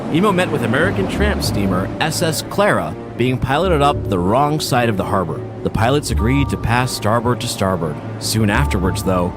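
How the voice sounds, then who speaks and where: A man giving a steady, measured documentary-style narration. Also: mid-range voice.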